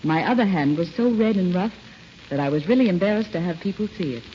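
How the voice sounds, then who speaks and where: A woman's voice: on the low side, animated, quick pace, mellow. A woman reading a scripted testimonial in a 1940s radio commercial. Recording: dull, crackly old radio broadcast.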